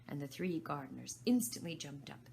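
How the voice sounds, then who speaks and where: Woman reading a kids storybook out loud, lowish voice w some vocal fry, bit of background noise. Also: expressive, normal speed. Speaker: volunteer story reader. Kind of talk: reading a children's storybook aloud.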